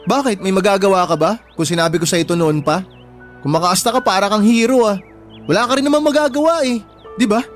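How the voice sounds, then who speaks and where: An actor playing a wounded, bitter younger brother with a Filipino accent in a radio drama, emotional and plaintive. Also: male voice, high voice, quick pace, close to an everyday voice. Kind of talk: Tagalog radio drama acting. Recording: background music throughout.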